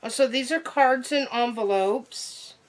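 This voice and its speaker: A young woman chatting casually through an unboxing while sick, her voice nearly gone, excitable and full of ums. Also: a little high, unhurried, a little hiss or hum, low-quality recording. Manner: excitable, many ums and trailing asides. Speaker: unboxing vlogger.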